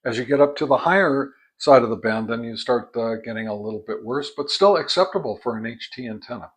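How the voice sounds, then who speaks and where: A man's voice: medium pitch, brisk, slightly rough. Methodical man reviewing gear. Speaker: ham radio product reviewer. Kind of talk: casual product review and test demonstration.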